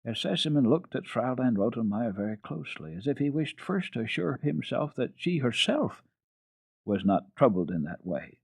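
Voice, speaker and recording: A man's voice: mid-range voice, big pitch swings, normal speed, creaky, a little mellow, slightly rough, dry recording. A man narrating classic children's literature dramatically, as a storyteller on a radio program.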